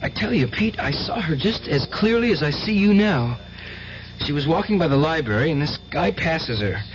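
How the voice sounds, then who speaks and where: A man's voice: fairly high pitch, animated, normal speed, natural voice. An actor playing a fervent, tormented young man with psychic powers in an old-time radio drama, earnest and intense. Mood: anxious. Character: fervent, tormented young male psychic nephew, devoutly religious. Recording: dull, hissy old radio recording.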